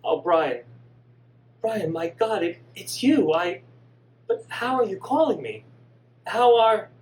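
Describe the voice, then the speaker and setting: A man voicing a grieving middle-aged mother, emphatic and sharp when scolding. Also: sits high, expressive, slow pace, roomy sound, voice pushed up. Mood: tearful. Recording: distant mic with faint hum.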